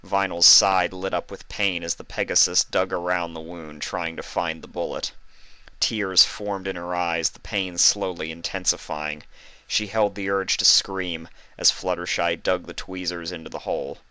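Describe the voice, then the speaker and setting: Male narrator, low pitch, bright crisp edge, scripted story reading, dry recording. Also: steady tone, normal speed, dry recording. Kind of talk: scripted fan fiction narration.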